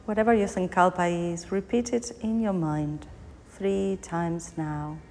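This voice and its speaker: A woman teaching yoga nidra, guiding a meditation in short phrases with pauses between them. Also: lowish voice, fairly even intonation, vocal fry, a little mellow. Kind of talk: guided meditation, body scan narration. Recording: long hall reverb, faint background noise.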